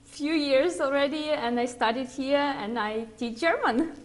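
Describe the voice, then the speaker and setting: A woman with a Ukrainian accent chatting casually as a quiz contestant, in simple, slightly halting English. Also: high-pitched. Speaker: quiz contestant, German teacher. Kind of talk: casual chat.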